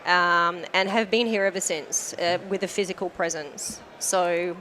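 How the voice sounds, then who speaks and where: A woman's voice: fairly low pitch, steady tone, unhurried, sharp tone, a little hiss or hum. Chatty Australian woman guest. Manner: chatty, self-deprecating, frequent fillers. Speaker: guest, company manager. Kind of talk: casual podcast interview.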